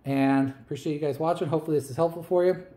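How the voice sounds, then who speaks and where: A man with a mellow, dark voice talks through his gear in a loose, chatty vlog manner, filling pauses with ums and uhs. The sound is roomy, with a clear echo around him. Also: fairly high pitch. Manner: relaxed, chatty, many fillers. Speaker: vlogger. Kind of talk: casual show-and-tell vlog.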